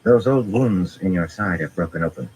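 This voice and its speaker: A man's voice: low voice, lively intonation, moderate pace, a little hiss or hum, pitched above the performer's own voice, rough recording. A man voicing a fierce, stubborn woman healer who talks brusquely, almost growling, in an audiobook. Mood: exasperated. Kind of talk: audiobook character voice.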